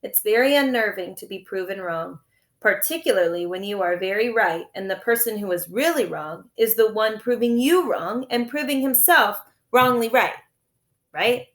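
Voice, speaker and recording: A woman's voice: medium pitch, normal speed. A woman narrating a children's storybook as a teacher reading aloud. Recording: echoey room.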